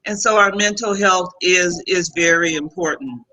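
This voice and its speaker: A woman's voice: fairly low pitch, moderate pace, sharp tone, poor audio. A woman hosting a talk show panel in a chatty, casual way over a video call, often given to laughing. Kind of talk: casual chat moderating a panel.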